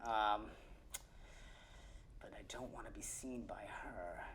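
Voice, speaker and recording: A woman's voice: a bass voice, slow pace, slight background noise. A woman playing a tabletop game, talking casually and playfully as she thinks aloud. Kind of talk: casual improvised game talk.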